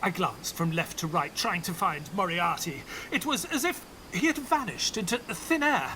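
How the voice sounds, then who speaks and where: An earnest, animated high-pitched sidekick narrator. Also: male voice, moderate pace, a little hiss or hum, poor audio. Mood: suspenseful narration. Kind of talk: dramatic narration and dialogue of an audio play.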